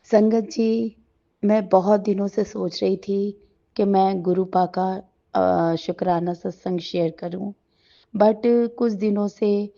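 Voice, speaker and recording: Hoarse middle-aged woman talking slow and soft at her home shrine, lots of pauses, kinda muffled audio. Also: mid-range voice, steady tone. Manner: slow, gentle, halting with many pauses and fillers. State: sore throat. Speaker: devotee sharing a gratitude satsang. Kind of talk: devotional testimony talk. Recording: slightly dull lo-fi recording.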